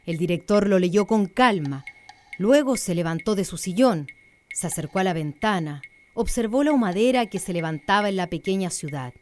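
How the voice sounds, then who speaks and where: A woman's voice: mid-range voice, animated, normal speed, darkish tone, slight background noise. A woman narrating a radio drama in a scripted storytelling style.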